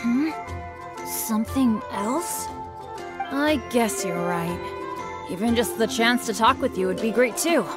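A woman voicing an unlucky, self-effacing teenage boy adventurer in a video game, earnest and hesitant, quick to get excited. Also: fairly high pitch. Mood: bashful, apologetic. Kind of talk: English video game character dialogue. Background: game music.

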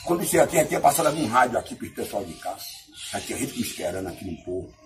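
A man with a Brazilian accent telling a comic anecdote in casual chat, excitable and repetitive, acting out sound effects and dialogue. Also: mid-range voice, rapid-fire, over music. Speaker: comic storyteller.

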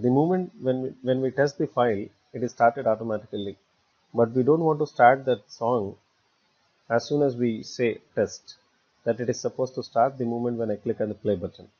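A man narrating a step-by-step screencast tutorial as an instructor, phrasing haltingly in short, repetitive chunks. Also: mid-range voice, darkish tone, slightly rough. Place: voice-over for a screen recording. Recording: dull, lo-fi voice recording.

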